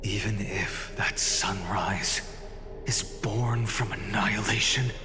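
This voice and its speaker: A resolute young male hero, carrying grief and defiance in a video game drama. He speaks slowly and solemnly, his voice trailing long reverb over clear music. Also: lowish voice, steady tone. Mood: hushed doubt. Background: loud background music.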